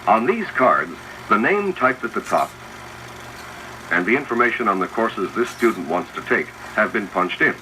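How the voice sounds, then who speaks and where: A man's voice: on the low side, big pitch swings, moderate pace, bright, forceful tone. A man reading scripted, instructive narration for a 1970s educational film, addressing the viewer directly. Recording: noisy 1970s 16mm film soundtrack, projector whir. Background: mechanical projector noise.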